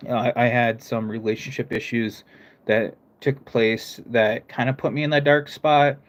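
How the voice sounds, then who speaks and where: A young man whose American accent blends northern and southern sounds. He chats loosely with his viewers on a live stream, and he keeps halting and starting his sentences again. His voice sits at an ordinary pitch for a man. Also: steady tone. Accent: mixed American, northern and southern. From United States. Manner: rambling, stops and restarts, talks to chat. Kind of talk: casual live-stream chat.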